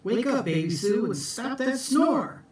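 A man playing a high-pitched, emphatic country boy. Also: animated, a little slow. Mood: bossy, insistent. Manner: loud, emphatic calling out. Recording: echoey room, distant phone mic.

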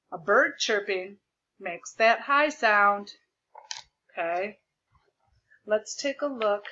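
A woman teacher projecting her voice loudly as she explains a lesson step by step over a video call, repeating the key points. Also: medium pitch, lo-fi sound. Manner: loud projected voice. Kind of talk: remote classroom lesson. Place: home desk with a document camera. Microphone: computer mic on a video call.

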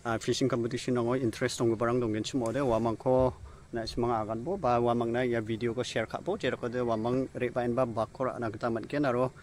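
A man's voice: medium pitch, fairly even intonation, fairly quick, a little mellow, slight background noise. Young man vlogging outdoors on a phone. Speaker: vlogger host. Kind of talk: casual outdoor vlog talk. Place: outdoors by a rural fish pond. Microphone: phone held at arm's length.

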